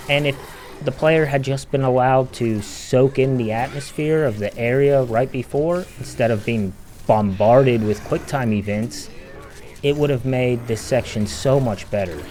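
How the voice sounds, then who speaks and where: A man's voice: medium pitch, a little mellow, slight background noise. A man delivering emphatic, opinionated video essay commentary as a game critic.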